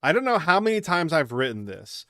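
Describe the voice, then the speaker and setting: A man voicing a haunted country boy recounting his childhood, reading visual novel narration plainly and a little haltingly. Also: on the high side, big pitch swings, fairly bright tone, no room sound, clean studio sound, pitched above the performer's own voice. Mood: somber, reflective.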